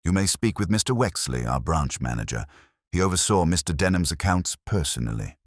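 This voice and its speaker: A man's voice: low voice, very animated, fairly quick, no echo, studio quality. A man voicing a polite, impassive Victorian bank clerk in a story reading.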